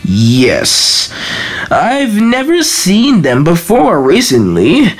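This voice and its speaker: A woman's voice: lowish voice, wildly swooping pitch, drawn-out words, husky, heavily acted. A woman voicing a frightened elderly male village elder, pleading and shaky, in a fan comic dub.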